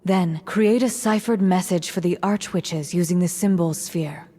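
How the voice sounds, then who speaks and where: An actress playing a composed witch of a castle coven, formal and measured, in a video game. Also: on the low side, warm tone. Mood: formal, cool. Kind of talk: dramatic video game cutscene acting. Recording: long hall reverb.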